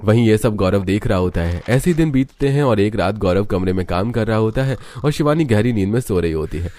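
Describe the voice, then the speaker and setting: A man's voice: on the low side, lively intonation, a little fast, dark tone, no room sound. A man narrating a scripted story in the style of a storybook.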